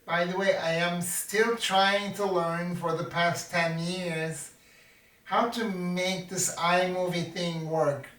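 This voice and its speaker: Slow self-mocking man in his fifties, set back from the mic in a roomy space. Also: high voice, flat delivery, fairly bright tone. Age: 50s. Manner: slow, self-deprecating, jokey asides to himself. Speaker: vlogger. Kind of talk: rambling self-mocking monologue. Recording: roomy, from a distance.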